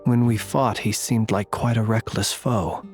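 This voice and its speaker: A man playing a composed, analytical, enigmatic warrior in a video game, speaking in a measured, formal, solemn manner. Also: fairly low pitch, steady tone, natural voice. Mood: grave, measured. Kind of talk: video game character acting. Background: music.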